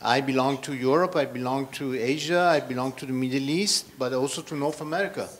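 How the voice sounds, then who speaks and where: A man's voice: medium pitch, a little fast. A man from Iran speaking as a scholar on a panel, hesitant and full of fillers. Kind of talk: panel talk.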